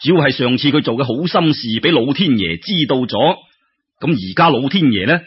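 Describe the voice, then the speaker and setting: A man's voice: mid-range voice, expressive, a little fast, breathy edge. A man with a Cantonese accent narrating a folk tale in colloquial oral storytelling style. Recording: dull lo-fi radio-style recording.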